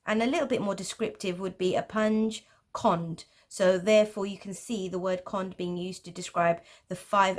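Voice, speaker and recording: A woman with a Pakistani English accent, patiently explaining geometric drawing in a tutorial. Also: mid-range voice. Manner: patient, explanatory, with light laughs. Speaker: art teacher.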